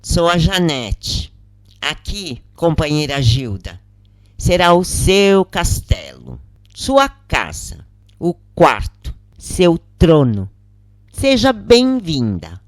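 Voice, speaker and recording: A woman's voice: deep voice, wildly swooping pitch, takes it slowly, no room sound, clean studio sound, full character voice. A woman voicing a wry female prison inmate who welcomes a newcomer with mock grandeur in a narrated story.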